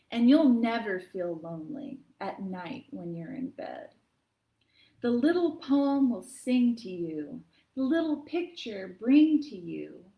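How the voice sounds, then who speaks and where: A woman hosting a children's storytime, reading poems aloud and chatting in an expressive, encouraging manner. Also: on the high side. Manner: expressive, encouraging, addressing young listeners. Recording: echoey, roomy sound.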